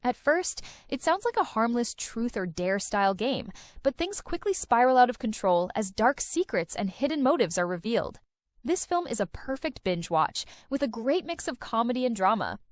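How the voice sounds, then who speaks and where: A woman's voice: on the high side, big pitch swings, moderate pace, dry recording. A woman narrating a countdown of film and series recommendations in an enthusiastic, recommending tone. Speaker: video host. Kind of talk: scripted list-video narration.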